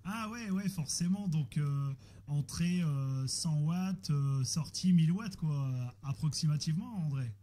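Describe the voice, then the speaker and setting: A man with a slightly high voice talks casually as a radio operator. He is hesitant and repeats himself as he works through technical checks, with clear noise on the audio. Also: unhurried, warm tone. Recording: noisy amateur radio audio with static.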